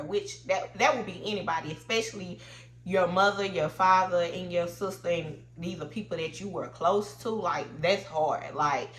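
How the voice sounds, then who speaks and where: A woman's voice: mid-range voice, bright, forceful tone, echoey room. Stuffy-nosed woman chatting about reality TV. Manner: chatty, opinionated, loose and informal. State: congested, stuffy nose. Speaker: reality TV recap host. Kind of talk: casual commentary.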